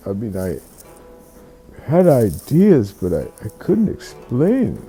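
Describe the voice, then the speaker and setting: A person telling a reflective bedtime story in a slow, halting, musing way, often repeating words. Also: medium pitch, mellow.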